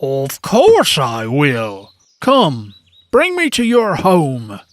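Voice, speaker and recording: Woman voicing a slow, cowardly big rhino. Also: low voice, wildly swooping pitch, faint music behind, heavily acted. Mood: obliging. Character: big helpful rhino, outwardly bold, secretly cowardly.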